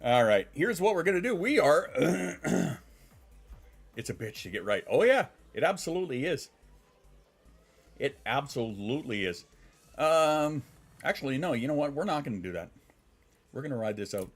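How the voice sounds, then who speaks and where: A man's voice: on the high side, sing-song, normal speed, long pauses, breathy edge, soft background music. A man in his thirties, congested and sniffling, chatting casually on a live stream, rambling, swearing and reacting to chat. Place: home.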